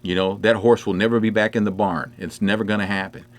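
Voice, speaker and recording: Rambling middle-aged man, lowish voice. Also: fairly even intonation, fast talker. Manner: conversational, rambling with many "you know" fillers. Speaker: livestream host answering viewer comments. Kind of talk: casual chat. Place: indoors at home.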